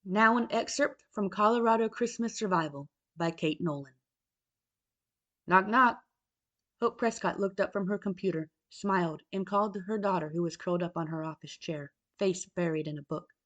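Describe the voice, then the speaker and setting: A woman's voice: fairly low pitch, normal speed, a bit bright, lo-fi sound. A woman reading scripted fiction narration, as an audiobook narrator.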